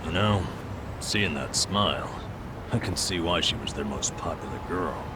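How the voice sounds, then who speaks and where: An actor in an English game dub playing a teasing, tough sidekick with a wry, informal way of talking. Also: male voice, low voice, moderate pace, lightly acted. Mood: wry, admiring. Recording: noisy urban ambience with vehicles.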